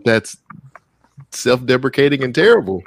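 A man's voice: mid-range voice, big pitch swings, normal speed, creaky, a little hiss or hum. A man hosting a podcast, chatting casually and teasing his co-host with jokes. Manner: teasing, joking. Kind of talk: casual chat.

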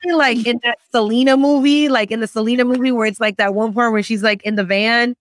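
A woman's voice: fairly high pitch, steady tone, a little fast, no room sound, studio quality. A woman from San Francisco, a podcast guest, earnestly telling her own story with lots of casual fillers. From San Francisco, United States. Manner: earnest storytelling, many "you know" and "like" fillers. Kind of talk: casual interview chat.

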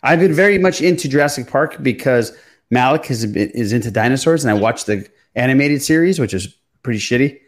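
A man's voice: mid-range voice, expressive, a little fast. Man, podcast host, mid-range voice, casual informal chat, loose language. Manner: informal, swearing.

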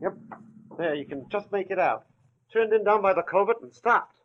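An actor playing a jittery, folksy rancher with a greedy streak in an old-time radio drama. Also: male voice, high voice, normal speed, slight background noise, natural voice. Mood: uneasy, folksy. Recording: dull vintage radio recording.

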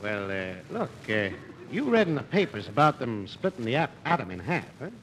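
An actor playing a breezy, smooth-talking schemer in an old-time radio comedy, with a sly, confiding sales manner. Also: male voice, mid-range voice, very animated, moderate pace, fairly bright tone. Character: Dave, breezy smooth-talking schemer with crackpot money plans. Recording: faintly noisy old radio recording.

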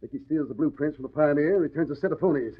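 An actor playing an indignant, emphatic police inspector in a 1940s radio drama, accusing and blustering. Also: male voice, a little high, rapid-fire, slightly rough. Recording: muffled vintage radio recording.

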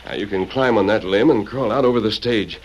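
A man's voice: on the low side, fairly quick, close to an everyday voice. An actor playing a heroic, decisive masked cowboy lawman in a radio western, speaking in an earnest, commanding manner. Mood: urgent, commanding. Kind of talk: old-time radio western acting. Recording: noisy old radio recording.

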